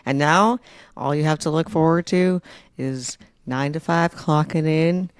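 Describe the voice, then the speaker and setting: A woman's voice: low voice, measured pace, clean tone, no echo. Teasing woman chatting, very dry sound. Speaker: podcast co-host. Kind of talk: casual podcast chat.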